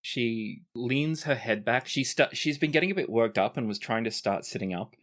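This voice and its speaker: A man narrating and voicing characters as game master of a tabletop role-playing game, relaxed and using fillers. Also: mid-range voice, normal speed.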